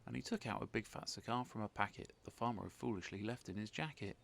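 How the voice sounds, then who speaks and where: A man narrating a children's storybook in an expressive read-aloud style, with faint noise behind. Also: on the low side, quick pace, vocal fry.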